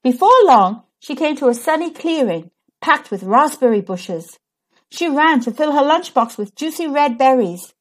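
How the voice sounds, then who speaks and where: A woman reading a children's story aloud with dramatic, deliberate, expressive storytelling. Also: high-pitched. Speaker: storybook reader.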